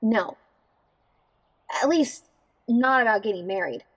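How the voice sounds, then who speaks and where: A woman playing a down-to-earth, warm-hearted young bride in an audio drama, natural and wry in delivery. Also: a little high, expressive, takes it slowly, breathy edge, heavily acted. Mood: self-conscious.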